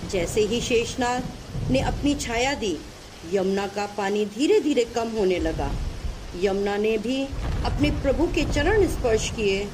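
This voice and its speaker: A woman narrating a scripted puppet show for children, delivering the story deliberately, phrase by phrase. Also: on the high side, animated, background noise.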